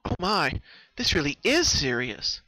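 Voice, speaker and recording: Guy doing a nice concerned girl unicorn, cartoon storybook voice, high and polite, really dry sound. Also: wildly swooping pitch, a little slow, pushed and bright, no room sound, full character voice, poor audio. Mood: shocked. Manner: polite, solicitous. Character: kind, concerned young female cartoon unicorn, polite and attentive.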